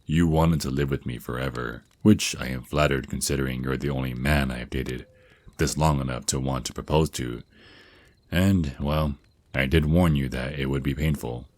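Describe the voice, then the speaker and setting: A man's voice: a bass voice, steady tone, normal speed, darkish tone, dry recording. A man voicing an affectionate, protective vampire naga boyfriend in an intimate ASMR roleplay, mentoring his partner with slightly formal wording and small stammers. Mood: tender, explanatory. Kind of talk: ASMR roleplay, intimate one-sided scripted dialogue.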